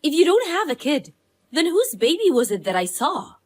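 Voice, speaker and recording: Woman acting a pushy, high-voiced friend. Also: big pitch swings, normal speed, a little mellow. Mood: insistent, puzzled. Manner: blunt, accusing. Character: Allison, pushy, indignant, spoiled but loyal woman friend. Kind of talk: scripted dramatized story dialogue.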